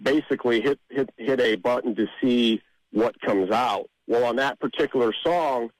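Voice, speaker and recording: A man's voice: mid-range voice, moderate pace, no room sound, low-quality recording. A man speaking conversationally as a guest in a radio interview, explaining things and repeating points for emphasis. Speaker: interview guest, amateur songwriter.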